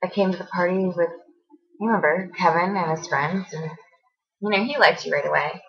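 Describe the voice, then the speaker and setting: A woman acting a hurt, accusing young woman confronting her former best friend in a halting, emotional dramatic monologue. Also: lowish voice, dull audio, natural voice. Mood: wounded, hesitant. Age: young adult.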